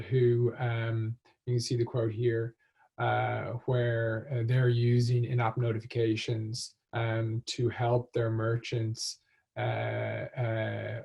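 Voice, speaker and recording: A man giving a business presentation as a speaker, in a halting, hesitant way with frequent ums. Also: medium pitch, gravelly, a little mellow, husky. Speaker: conference speaker.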